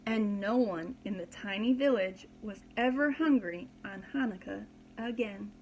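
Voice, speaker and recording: A woman's voice: mid-range voice, measured pace, highs cut off, slight background noise. A woman reading a children's story aloud as a preschool teacher, dramatically and expressively, with simple explanations for young listeners.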